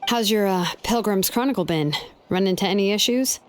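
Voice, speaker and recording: A woman playing an easygoing young female blacksmith in a video game, with a relaxed, wry way of talking. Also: mid-range voice, a little fast, slight background noise, natural voice. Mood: friendly, casual. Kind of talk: video game character acting. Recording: game music behind. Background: game music.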